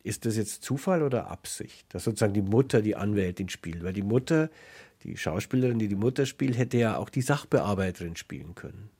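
A man's voice: fairly low pitch, expressive, a little fast, warm tone, breathy edge. A man hosting a reflective literary radio interview, speaking in a thoughtful, analytical way.

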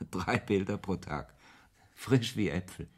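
A man's voice: slow, full character voice. An actor playing a brooding, ironic author who narrates his own story in a radio play, with a literary, sardonic manner. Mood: teasing. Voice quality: low, husky voice. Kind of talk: first-person radio play narration and dialogue.

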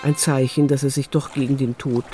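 A man's voice: medium pitch, little pitch movement, fairly quick, mellow, soft background music. A man narrating a radio drama from a script as storyteller.

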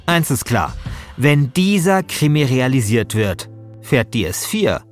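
A man's voice: mid-range voice, big pitch swings, a little slow, soft background music. A man narrating a scripted voice-over as host, dramatic and tongue-in-cheek.

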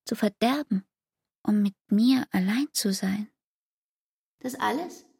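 An actress playing a weary, reproachful young woman who pleads intensely in a husky voice, in a literary radio drama. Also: mid-range voice, slow, a little mellow, exaggerated voice. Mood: agitated, accusing.

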